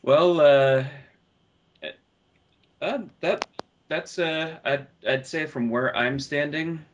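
A man's voice: a little high, slow, highs cut off. A man chatting casually as a podcast panelist, hesitant and often repeating the start of his phrases. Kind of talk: casual film discussion.

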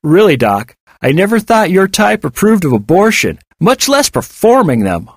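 A man voicing a gruff, menacing male thug who barks and taunts in an audiobook story. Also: high voice, big pitch swings, moderate pace, no echo, studio quality, pitched above the performer's own voice, exaggerated voice. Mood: mocking taunt. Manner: barking, taunting.

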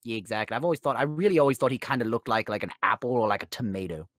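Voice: medium pitch, very fast, fairly bright tone, no room sound, clean studio sound, lightly acted. A performer playing a vain, snide alien tyrant in a fan question show, with smug, sardonic, offhand delivery. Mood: casual, sardonic.

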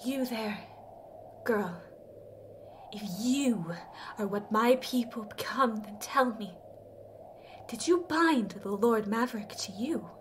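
A high-pitched, imperious siren empress, voiced by a woman in a fantasy horror drama. Her speech is slow, formal and theatrical, proud with an edge of fear. Faint ambient noise sits behind her. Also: lively intonation. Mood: scheming.

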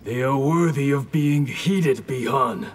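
A man's voice: medium pitch, slow pace, loud music behind the voice, close to an everyday voice. A man as a solemn, firm ninja. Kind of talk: video game character dialogue.

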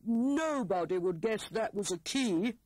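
A British woman voicing an excitable, cheeky male hare in a children's storybook. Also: mid-range voice, a little slow, no room sound. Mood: pleased. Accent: British English.

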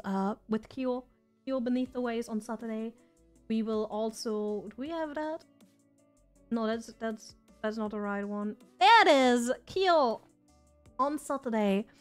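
A woman streamer chats in a rambling, self-deprecating way, her voice strained from overuse. Her voice sits a little high. Soft music plays behind her. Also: measured pace, clean tone. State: losing her voice. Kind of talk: casual live-stream chat.

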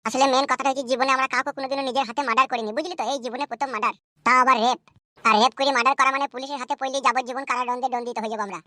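A man's voice: extremely high pitch, fairly even intonation, very fast, bright, forceful tone, no echo, clean studio sound. A man voicing an anxious, foul-mouthed young village troublemaker in a comedy cartoon, bluntly arguing in a rural West Bengal accent. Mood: tense, bickering. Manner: blunt, argumentative, rambling.

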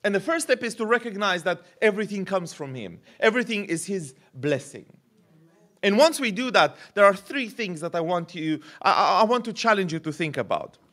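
A man in his thirties preaching a sermon at a podium mic in a church hall, animated and gesturing, often repeating words as he builds a point. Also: high-pitched, fairly quick. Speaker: pastor. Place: church hall with curtained stage.

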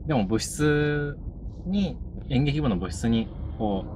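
Animated polite man in a noisy car. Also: medium pitch, slow pace. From Fukui, Japan. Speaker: interview guest, actor. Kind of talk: casual conversational storytelling. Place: passenger seat of a moving car. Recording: muffled, loud car cabin noise. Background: loud road and engine noise.

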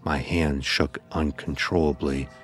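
Very deep-voiced male horror narrator. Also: steady tone, faint music behind. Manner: grave, deliberate storytelling. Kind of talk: scripted first-person horror narration.

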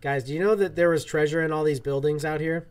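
A man's voice: on the high side, fairly even intonation, fast, clear, resonant tone. A man in his twenties chatting casually on a game livestream and reading out viewer comments. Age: 20s. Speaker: streamer host. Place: small room indoors at a desk.

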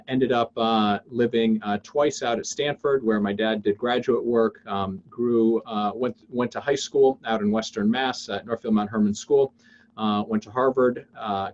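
Halting American man, slightly muffled webcam sound. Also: medium pitch, a little mellow, a little hiss or hum. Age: 50s. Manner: halting, frequent filled pauses and restarts. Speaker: webinar host and investing teacher. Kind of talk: informal webinar presentation. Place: indoors on a video call.

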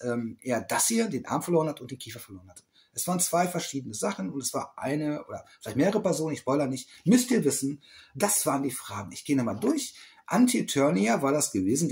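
A man's voice: fairly high pitch, darkish tone. A middle-aged man in a small indoor room, rambling through a casual quiz vlog, correcting himself and teasing that he knows the answers. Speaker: toy collector vlogger.

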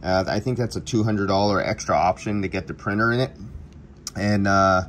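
A man's voice: lowish voice, moderate pace, a bit bright, slight background noise. A middle-aged man, an HVAC and auto technician, rambles casually through product specs with fillers and restarted words. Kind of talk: casual screen walkthrough.

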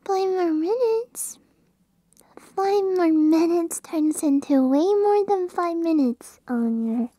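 Casting: playful, needy puppy girl, ASMR roleplay; extremely high register, very slow delivery, pleading whine. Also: lively intonation, warm tone, no echo, studio quality, heavily acted. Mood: insistent, whiny. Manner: whiny pleading with yips and giggles. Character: clingy, playful, whiny puppy girl pet. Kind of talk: ASMR roleplay, speaking to the listener.

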